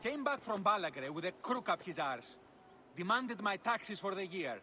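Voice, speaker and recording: An actor playing a bitter, sarcastic farmer in a video game, indignant and scornful. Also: male voice, sits high, very animated, moderate pace, bright, forceful tone, a little hiss or hum, full character voice. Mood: aggrieved. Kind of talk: dramatic video game acting.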